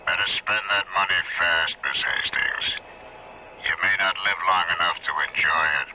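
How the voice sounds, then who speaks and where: An actor playing an anonymous caller in a radio mystery, coolly threatening in a slow, insinuating way. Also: male voice, low-pitched. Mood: quiet menace. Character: menacing anonymous male caller making veiled death threats. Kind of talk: 1970s radio mystery acting. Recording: muffled, very noisy old radio broadcast.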